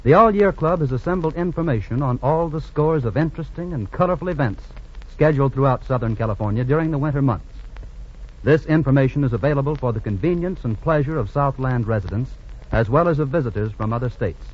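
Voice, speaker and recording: A man working as a radio announcer, reading a script in a formal, measured 1940s broadcast style. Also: medium pitch, animated, lo-fi sound.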